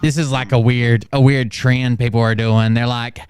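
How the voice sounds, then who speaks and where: A man's voice: mid-range voice, little pitch movement, moderate pace, noisy background. A man commenting sarcastically on livestream clips, mocking and swearing freely. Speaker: commentary host. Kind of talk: reaction commentary.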